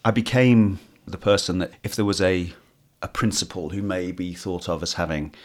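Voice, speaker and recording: Male actor, interview guest, very low husky voice, meandering self-deprecating delivery. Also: fairly even intonation, normal speed. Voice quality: hoarse, husky when tired. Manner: rambling, restarts often, self-deprecating. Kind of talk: casual interview chat.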